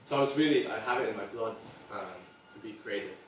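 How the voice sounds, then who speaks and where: A man's voice: on the low side, fast, slight background noise. Man in his thirties from Serbia, earnest presentation, frequent ums, room echo, heard from afar. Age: 30s. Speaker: product designer giving a talk. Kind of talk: public presentation. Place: small meeting room with audience. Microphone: far camera. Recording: very echoey room from far off.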